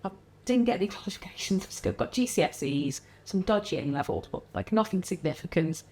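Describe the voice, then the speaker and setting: A woman with a mild Liverpool-area English accent, a podcast guest chatting casually, acting out what other people say with lively pitch jumps and frequent laughs. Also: brisk. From Liverpool area, England. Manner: animated, acts out quoted voices, frequent laughs. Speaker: guest, wellness business founder.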